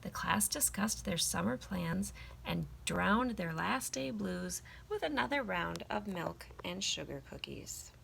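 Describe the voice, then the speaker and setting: A woman reading a children's picture book aloud in an expressive, emphatic storytelling style. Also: fairly low pitch, measured pace, vocal fry, a little hiss or hum, poor audio.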